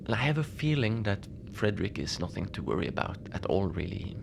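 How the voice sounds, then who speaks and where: A man playing a mild, lonely Antarctic station manager in a cozy horror audio drama, speaking in a hesitant, understated deadpan as he logs his shift. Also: fairly low pitch, a little fast, creaky, full character voice. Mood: musing, puzzled. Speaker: narrator of a fiction podcast. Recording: noisy ambient bed behind the voice.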